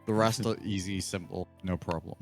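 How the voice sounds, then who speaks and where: Male podcast guest, slightly low pitch, relaxed casual gaming chat style, soft music behind. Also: a little fast. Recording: faint music in the background. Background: faint music.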